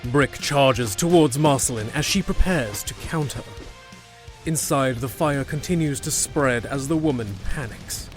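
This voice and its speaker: A man's voice: mid-range voice, a little slow. A man narrating a scripted fantasy audio drama as a storyteller. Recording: music behind.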